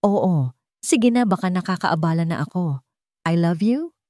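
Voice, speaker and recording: A woman's voice: lowish voice, lively intonation, unhurried, a little mellow, dry recording, clean studio sound. A woman voicing an anguished, protective young father in an audiobook drama, speaking with restrained reassurance. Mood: tired, reassuring. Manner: restrained, reassuring, forcing a normal tone. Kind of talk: dramatic audiobook character dialogue.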